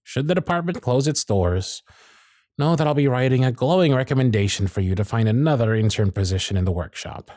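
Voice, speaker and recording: Proud male supervisor, played by a man for ASMR roleplay. Mid-pitched, very expressive, sardonic and theatrical, dry close-mic sound. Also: brisk, dry recording, close to an everyday voice. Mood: sincere, gentle. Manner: theatrical, exasperated, sarcastic phrasing. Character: proud, sardonic male workshop supervisor, protective of his intern. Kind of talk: scripted ASMR roleplay acting. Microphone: close studio mic, dry.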